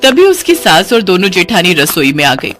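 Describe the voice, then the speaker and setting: A woman's voice: fairly low pitch, very animated, normal speed, a bit bright, soft background music. A woman reading scripted narration for a Hindi moral story.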